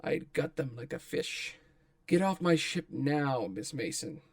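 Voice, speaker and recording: A man playing a tall, menacing, wry pirate captain in a script table read, commanding and unhurried. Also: on the high side, gravelly, no room sound, clean studio sound. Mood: cold threat.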